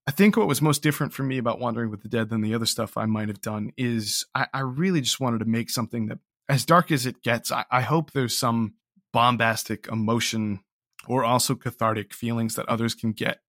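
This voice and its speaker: A man speaking as an interview guest about his writing, earnest and self-deprecating, in a reflective, casual and halting way. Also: medium pitch, fairly bright tone, no room sound, clean studio sound. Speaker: interview guest, audio drama writer.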